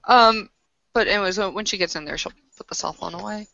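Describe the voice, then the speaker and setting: A woman's voice: mid-range voice, quick pace, creaky, pushed and bright, no room sound. A woman taking part in a tabletop roleplaying game, casually narrating her character in hesitant, piecemeal phrases. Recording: dry, slightly muffled voice-chat audio.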